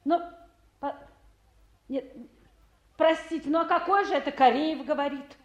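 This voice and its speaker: A high-pitched, bossy woman official in an old Soviet radio play. She speaks in a businesslike, conversational way with some warmth underneath, in a roomy studio recording. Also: unhurried, muffled recording. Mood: firm, reproachful. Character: brisk, bossy female town council official, warm underneath. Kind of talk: 1950s Soviet radio drama acting.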